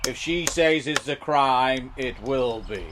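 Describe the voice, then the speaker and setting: An actor playing a scheming small-town entrepreneur in a comedy audio drama, measured and persuasive, hedging as he goes. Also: mid-range voice, background noise, close to an everyday voice.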